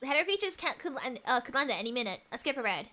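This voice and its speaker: A woman streaming a game, reading its dialogue aloud, hoarse from a cold and losing her voice, excitable and tripping over her words. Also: high voice, racing. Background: game music underneath.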